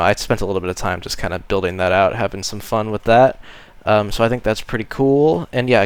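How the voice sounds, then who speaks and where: A man with a lowish, clean and resonant voice talks his way through a video game tour. He is laid-back and meandering, filling pauses with ums and uhs. The sound is dry and close, like a desk mic at home. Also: smooth, dry recording. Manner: relaxed and rambling, many ums and uhs. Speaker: modded game player giving a base tour. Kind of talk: casual narrated gameplay tour.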